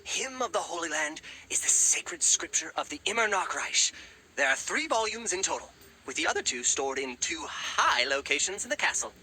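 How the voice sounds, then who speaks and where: A man's voice: a little high, sing-song, normal speed, sharp tone, husky, heavily acted, poor audio. A man voicing a flustered, lovestruck talking raven in a video game, stammering and fawning with courtly pomp. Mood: proud. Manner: stammering, fawning, old-fashioned courtly speech. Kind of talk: animated game character acting.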